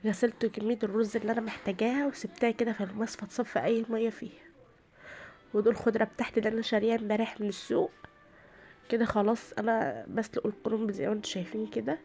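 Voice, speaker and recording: Woman, rural Egyptian accent, tired voice, rapid talk, cooking vlog in a home kitchen. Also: a little high, fairly even intonation, warm tone. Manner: chatty, explains each step to viewers. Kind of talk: casual cooking narration.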